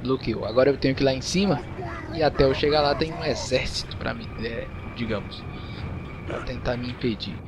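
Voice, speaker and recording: Casting: Brazilian male gamer, mid-pitched voice, casual play-by-play, clear noise behind him. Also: brisk. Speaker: gaming YouTuber. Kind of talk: casual gameplay commentary. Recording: noisy, game sound behind voice.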